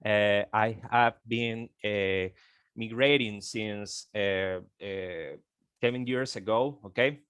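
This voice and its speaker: Slow, halting Venezuelan man. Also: fairly low pitch, a bit bright, smooth, dry recording. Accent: Venezuelan Spanish. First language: Spanish. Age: 30s. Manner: halting, repeats words, frequent "okay?" tags. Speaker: guest speaker on an online call. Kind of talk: presentation on a group call.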